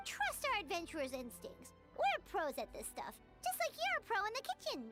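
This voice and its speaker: A woman voicing a small, excitable, childlike female fairy companion in a video game, squeaky and boastful. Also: moderate pace, loud music behind the voice, heavily acted. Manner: boastful, speaks of herself in third person.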